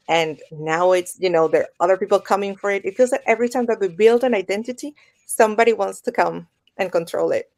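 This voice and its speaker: A woman's voice: lowish voice, darkish tone. A woman guest on a podcast, talking reflectively and building her ideas up in lists. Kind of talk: reflective conversational podcast talk.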